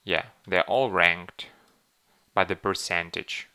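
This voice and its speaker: Halting deep man, Ukrainian accent. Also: fairly even intonation, bright, forceful tone, smooth. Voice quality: hesitant, many fillers and restarts. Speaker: channel host. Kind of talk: screen-walkthrough tutorial.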